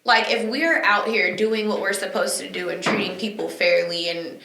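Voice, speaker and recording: A woman hosting a podcast, talking in an animated, emphatic way with quick asides. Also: mid-range voice, vocal fry, noisy background. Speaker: podcast host. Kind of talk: casual chat. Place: recorded on location, indoors. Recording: echoey room.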